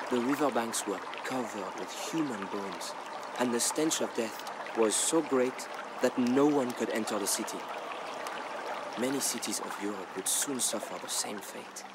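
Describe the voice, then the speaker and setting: A man narrating a historical tale as a dramatic scripted story, in a measured, grave storyteller's delivery. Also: medium pitch, heavy background noise. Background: background music.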